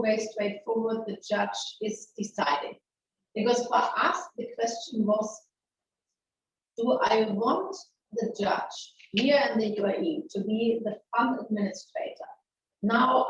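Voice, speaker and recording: German-accented woman presenting a webinar, higher voice, sounds far off and muffled over a call. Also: slight background noise. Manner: lively and frank, with frequent restarts and rhetorical questions. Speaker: webinar presenter, maritime lawyer. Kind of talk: conversational legal webinar presentation. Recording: very echoey, distant, muffled webinar audio.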